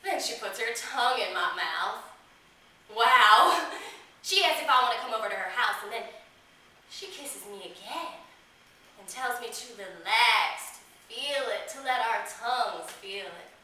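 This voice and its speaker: A woman performing a dramatic stage monologue as a woman reliving girlhood memories, childlike and candid, with emphatic delivery, drawn-out words and pauses. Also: a little high, lively intonation, bright, forceful tone. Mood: smitten, hushed. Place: small theatre stage. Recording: echoey theatre, recorded from a distance.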